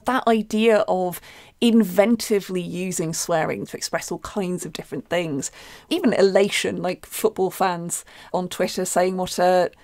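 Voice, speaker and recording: A woman talks with lively enthusiasm as a guest on a radio show. The sound is dry and close, with no room around her. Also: lowish voice, moderate pace, no room sound. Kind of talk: radio discussion.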